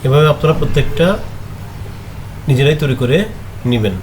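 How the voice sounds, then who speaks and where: Man walking through a software tutorial. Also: medium pitch. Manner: halting, thinking aloud while clicking through steps. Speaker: tutorial presenter. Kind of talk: screen-recorded software tutorial. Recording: noticeable background noise.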